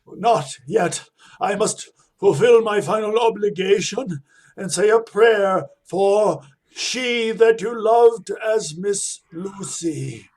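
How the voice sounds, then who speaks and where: An actor playing a stern, righteous professor who hunts vampires, with a solemn, declamatory manner in a radio play. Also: male voice, high-pitched, slow pace, darkish tone, exaggerated voice. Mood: solemn, reassuring. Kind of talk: old-time radio play acting.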